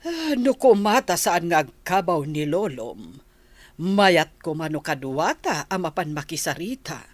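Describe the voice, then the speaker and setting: A woman's voice: lowish voice, big pitch swings, unhurried, no echo. A woman acting a patient, caring elderly grandmother in an Ilocano radio drama. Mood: reassuring.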